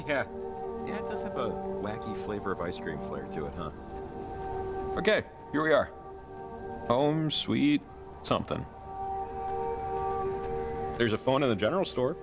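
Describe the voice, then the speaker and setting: A man's voice: medium pitch, sing-song, a little slow, long pauses. A man playing an easygoing, wry, friendly local in a video game, with a folksy, musing manner. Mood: relaxed, wry. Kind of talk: casual video game dialogue. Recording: loud music behind the voice. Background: loud music.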